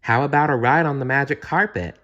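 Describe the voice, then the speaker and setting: A man's voice: medium pitch, brisk, fairly bright tone, lo-fi sound, pitched above the performer's own voice, natural voice. A man voicing a friendly, supportive young hero prince in a casual, encouraging way for a children's storybook. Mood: inviting.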